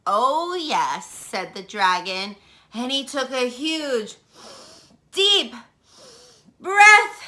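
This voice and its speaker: A woman storyteller reading aloud to children in an expressive way, putting questions to her young listeners. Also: high voice, drawn-out words, long pauses. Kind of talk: animated children's read-aloud.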